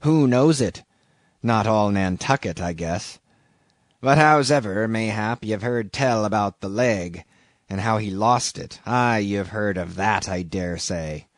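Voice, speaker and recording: A man's voice: fairly low pitch, unhurried, dry recording, natural voice. A man voicing a shabby old sailor in an audiobook, an ominous, derisive stranger who talks insistently in archaic seafaring speech. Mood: ominous, needling. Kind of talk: audiobook character reading.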